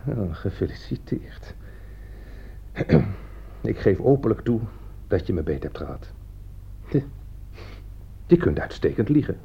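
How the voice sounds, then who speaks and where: An actor playing a bitter, sardonic man in a radio play, husky, pointed and halting. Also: lowish voice, big pitch swings, dark tone, full character voice. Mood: sardonic, uneasy. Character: bitter, sardonic man who warned his friend. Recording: dull lo-fi radio play recording.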